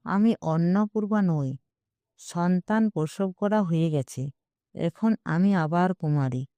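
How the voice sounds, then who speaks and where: A proud, wronged young princess of an audio story, played by a woman. She is bitter and defiant, yet her delivery is slow. The voice is smooth and recorded dry. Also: on the low side, no room sound. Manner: sharp-worded, defiant. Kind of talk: audio story character reading.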